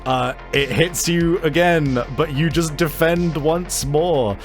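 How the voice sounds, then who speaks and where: A man's voice: a little high, takes it slowly, faint music behind. A man narrating an improvised tabletop game as game master, feeling out his phrasing in hesitant pieces.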